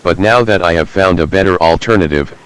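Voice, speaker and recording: A gaming channel host tacking on a brisk, matter-of-fact note. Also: male voice, deep voice, a bit bright, smooth. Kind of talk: quick add-on note.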